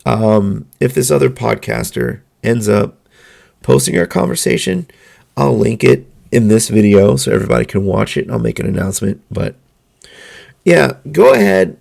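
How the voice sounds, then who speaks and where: A man hosting a solo podcast, talking in an earnest, measured way with halting fillers, his voice going hoarse. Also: mid-range voice, darkish tone. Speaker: podcast host. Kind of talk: solo opinion monologue.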